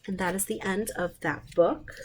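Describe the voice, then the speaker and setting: A woman reading picture books aloud to young children, animated and encouraging, with chatty asides to the listeners. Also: mid-range voice, normal speed, slight background noise. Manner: animated, encouraging, talks directly to little listeners. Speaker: storytime reader for young children.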